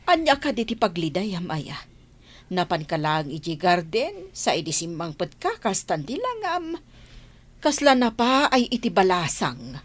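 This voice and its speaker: A woman's voice: fairly low pitch, big pitch swings, unhurried. An actress playing a nagging, talkative middle-aged village mother in a comedy radio play. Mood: fussing. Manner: nagging, chatty, given to exclamations.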